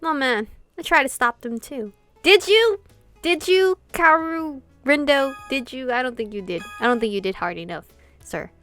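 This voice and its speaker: Higher-voiced expressive woman over game music. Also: moderate pace. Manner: expressive, foul-mouthed, theatrical reactions. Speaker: let's-play host. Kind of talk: reading visual novel dialogue aloud with live reactions.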